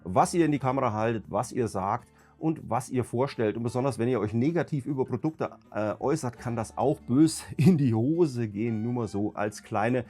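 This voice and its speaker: A man with a cold, hoarse and raspy, ranting emphatically as a YouTube host talking casually to his viewers. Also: medium pitch, normal speed, dark tone, faint music behind. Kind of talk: casual vlog talk to viewers.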